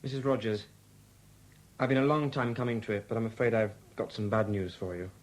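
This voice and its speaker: An actor playing a courteous, slightly awkward police sergeant, speaking hesitantly in a 1970s radio drama. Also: male voice, fairly low pitch, steady tone, brisk, close to an everyday voice. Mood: gentle, sombre. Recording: faint hum on old radio recording.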